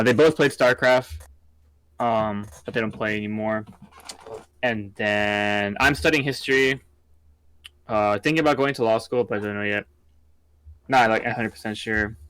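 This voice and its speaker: A young college-age man from California chatting casually as a guest, halting and self-effacing. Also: lowish voice, fairly bright tone, clear, resonant tone, poor audio. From San Diego, California. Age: young adult, college student. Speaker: talk show guest. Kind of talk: casual chat.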